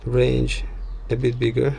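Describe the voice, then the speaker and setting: A man narrating an unscripted software tutorial as the tutor, talking haltingly and often checking in with a short tag word. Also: mid-range voice, monotone, creaky, a little hiss or hum. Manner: halting, frequent "yeah" check-ins. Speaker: sound design tutor.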